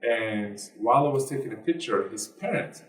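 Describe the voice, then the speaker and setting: A young man with a Turkish accent, answering interview questions earnestly in halting speech full of fillers. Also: fairly low pitch, animated, fairly bright tone. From Turkey. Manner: earnest, halting, many fillers and broken grammar. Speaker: guest, basketball player and activist. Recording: roomy, distant from the mic.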